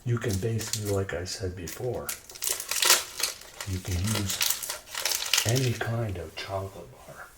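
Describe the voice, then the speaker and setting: A man's voice: low-pitched, measured pace, pauses often, very noisy. A man giving an ASMR cooking demonstration as a home cook, murmuring in short, sparse phrases in a kitchen. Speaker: home cook presenting a recipe. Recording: roomy kitchen echo, clattering dishes and clicks.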